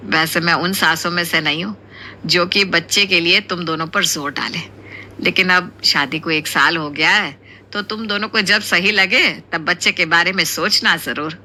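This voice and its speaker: Woman acting a bright-voiced, doting Indian mother-in-law. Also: on the low side, moderate pace, a little hiss or hum, pitched below the performer's own voice. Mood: gentle, pleased. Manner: affectionate, exclamatory, chiding. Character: warm, doting middle-aged Indian mother-in-law, playfully scolding. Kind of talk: Hindi cartoon family story acting.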